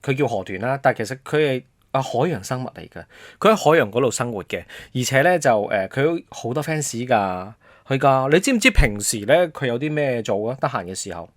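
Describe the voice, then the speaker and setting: A Hong Kong Cantonese man hosts a casual quiz with very varied, animated intonation. He explains things in a playful, prompting way, tossing out questions and hints. The sound is dry, with little room on it. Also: mid-range voice, brisk, dry recording. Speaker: radio host and quizmaster. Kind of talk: casual promotional quiz chat.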